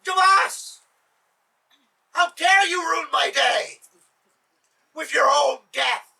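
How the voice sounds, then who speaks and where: A man voicing a pompous, furious sultan who shouts his commands, recorded from a distance. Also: sits high, big pitch swings, a little slow, breathy edge, full character voice. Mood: raging. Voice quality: shouting, imperious.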